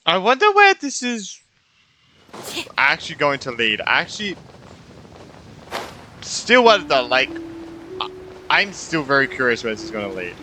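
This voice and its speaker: Animated, offhand game streamer. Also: mid-range voice, measured pace, long pauses, background noise. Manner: offhand, joking, swears, thinks aloud. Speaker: gaming streamer. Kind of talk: casual live game playthrough commentary. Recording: game music clearly behind.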